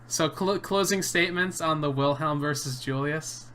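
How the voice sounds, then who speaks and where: Joking young man with a bright voice. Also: a little high, moderate pace, clear, resonant tone. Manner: joking, rambling with restarts. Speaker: channel host. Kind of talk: casual anime debate chat and voice-over.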